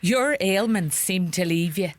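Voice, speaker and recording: An actress playing a bossy, sharp-tongued rural mother with a Donegal Irish accent in a radio play. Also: on the low side, a little slow, no echo. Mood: scolding. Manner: scolding, animated, gossipy.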